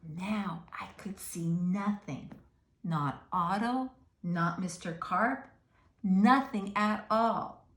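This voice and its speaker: A woman's voice: medium pitch, extremely slow, a bit bright, echoey room. A woman reading a picture book aloud to children, expressively, with questions and asides to her young listeners.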